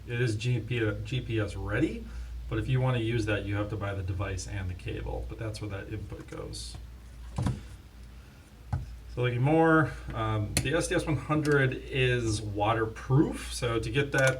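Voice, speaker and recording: A man hosting a casual, unscripted product demonstration, talking like an in-house expert. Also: on the low side. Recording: distant mic, faint background noise.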